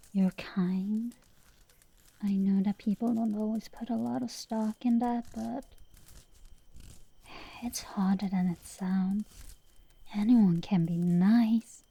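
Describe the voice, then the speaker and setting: A woman's voice: medium pitch, steady tone, takes it slowly, gravelly, long pauses, dark tone, slightly rough, dry recording, heavily acted. A woman voicing a brash, teasing tsundere dragon girl sorceress who gets flustered easily, in an intimate ASMR roleplay. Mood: tender, hushed.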